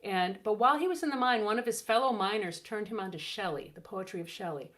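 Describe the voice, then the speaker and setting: A woman co-hosting a music show, chatting casually between songs in a soft, rambling way with plenty of fillers. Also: medium pitch, lively intonation, fast talker.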